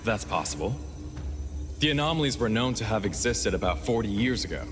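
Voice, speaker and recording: A man's voice: fairly low pitch, animated, fairly quick, close to an everyday voice. A man playing a level-headed, seasoned investigator in a video game, sober, knowing and matter-of-fact. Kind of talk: scripted game dialogue. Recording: music clearly playing behind.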